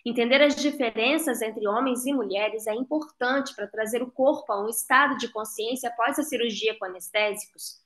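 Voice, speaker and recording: A woman's voice: on the high side, a bit bright. Woman reading carefully. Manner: careful, measured reading with pauses over figures and citations. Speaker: guest reader and co-chair. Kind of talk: reading a translated lecture aloud. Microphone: video call.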